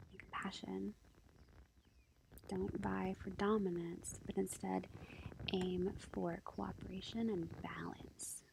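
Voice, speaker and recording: A woman playing a sweet, gentle young witch girlfriend in an ASMR roleplay, whispering breathily and reassuringly as she reads tarot. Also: medium pitch, vocal fry. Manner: gentle, hesitant, reassuring, unhurried. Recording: lo-fi, faint background noise. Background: faint ambient nature sounds.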